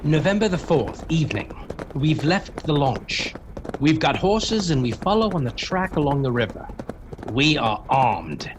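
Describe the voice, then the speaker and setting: An actor playing a determined young Victorian gentleman, delivering earnest diary entries in a dramatic radio play. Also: on the high side, big pitch swings, unhurried, noisy background. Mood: resolute. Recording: music and effects behind the voice.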